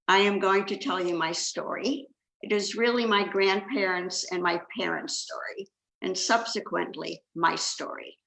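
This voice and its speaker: Older woman with a gravelly voice in an echoey room. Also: mid-range voice, fairly bright tone. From China. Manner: measured, reads from a script. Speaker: second generation Holocaust speaker. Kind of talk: prepared talk with slides.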